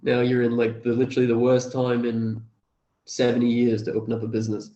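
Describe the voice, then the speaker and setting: A man's voice: medium pitch, completely flat delivery, fairly quick, warm tone, lo-fi sound. A man, chatting in a laid-back, understated way as an interview guest. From Australia. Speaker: cafe owner and interview guest. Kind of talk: casual interview chat.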